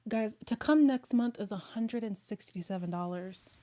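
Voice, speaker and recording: Woman streamer, casual live chat host, chatty and animated, slightly worn, hoarse voice. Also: medium pitch, normal speed. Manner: chatty, excitable, laughs often. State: losing her voice, a little hoarse. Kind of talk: casual livestream chat with viewers.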